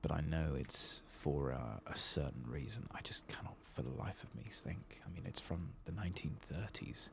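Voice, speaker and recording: A soft-spoken man doing an ASMR game playthrough, hushed and sometimes whispering, musing in a reflective, hesitant way as he plays, close to the microphone. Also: rumbling low, animated, moderate pace, gravelly, hardly any pauses, a little hiss or hum. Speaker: ASMR gaming video host.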